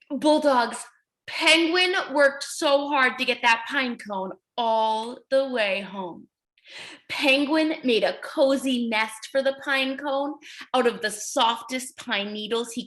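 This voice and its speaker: A woman reading aloud to young children as their teacher, encouraging and exaggerated, pausing to ask questions. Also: sits high, bright, forceful tone, low-quality recording.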